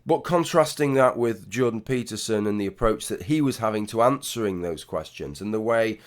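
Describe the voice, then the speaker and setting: A man, hoarse and gravelly after a late night, talking through casual vlog commentary with blunt swearing and many restarts. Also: lowish voice, animated, moderate pace. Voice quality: gravelly, husky. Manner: rambling, frequent restarts, blunt swearing, wry asides. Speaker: YouTube commentator.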